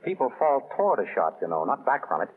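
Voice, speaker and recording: An actor playing a wry, suave retired detective who questions briskly, in 1930s radio style. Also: male voice, mid-range voice, sing-song, breathy edge. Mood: wry, probing. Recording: tinny, muffled 1930s radio recording.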